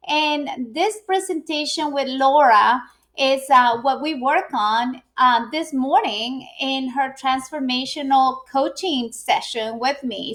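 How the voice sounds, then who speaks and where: Middle-aged woman, high voice, chatty live-stream speaker who restarts often. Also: a bit bright. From Ecuador. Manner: chatty, frequent fillers and restarts. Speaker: business owner and speaker. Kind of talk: live video presentation.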